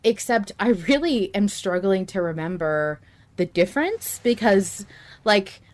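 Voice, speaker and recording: A woman co-hosting a podcast in casual fan discussion, speaking emphatically and quick to laugh. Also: mid-range voice, animated. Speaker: podcast co-host.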